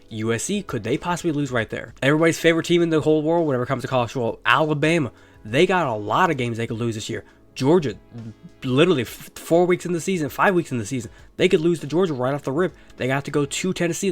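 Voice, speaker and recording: A young man hosting his own sports talk video, congested from illness, rambling casually and swearing now and then. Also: a little high. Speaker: sports channel host.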